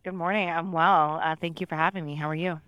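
A woman's voice: sits low, steady tone, fast talker, fairly bright tone, clear, resonant tone. A woman from New York answering interview questions thoughtfully, with frequent restarts and fillers. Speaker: podcast guest.

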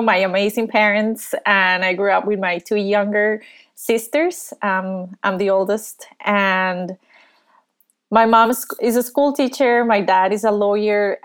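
A woman's voice: mid-range voice, clean tone. Woman from Costa Rica, podcast guest on a video call, earnest and thoughtful. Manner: earnest, thoughtful, many pauses. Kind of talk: conversational interview.

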